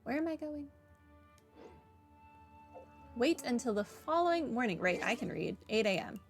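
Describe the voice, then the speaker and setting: A woman chatting casually as she streams a game, musing in hesitant, halting phrases. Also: on the high side, big pitch swings, soft background music. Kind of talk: casual playthrough commentary, chatting to viewers.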